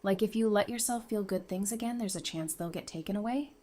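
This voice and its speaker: A woman acts out a warm, supportive doctor for an audio drama. Her voice is mid-range, and she speaks casually with gentle teasing and plenty of reassurance. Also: medium pitch, brisk, lightly acted. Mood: reassuring.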